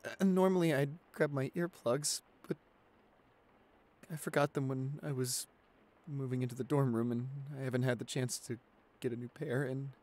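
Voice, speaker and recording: A man's voice: medium pitch, fairly even intonation, moderate pace, darkish tone, natural voice. A man voicing a shy, anxious, apologetic nineteen-year-old boyfriend in an ASMR roleplay, stammering and hesitant as he pleads. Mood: soothed but nervous.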